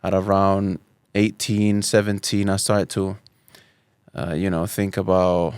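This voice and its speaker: A man's voice: deep voice, level tone, a little slow, darkish tone, smooth, dry recording. A man in his thirties from Spain, a podcast guest telling his life story in a thoughtful way, often restarting and mixing in Arabic phrases. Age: 30s. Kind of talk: relaxed personal storytelling.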